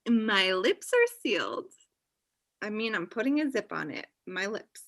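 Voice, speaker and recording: A woman playing a chatty, high-energy friend in a comedy table read on a video call, with an animated, gushing manner. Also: measured pace. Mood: defensive, giddy. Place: small indoor room on a video call. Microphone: computer mic on video call.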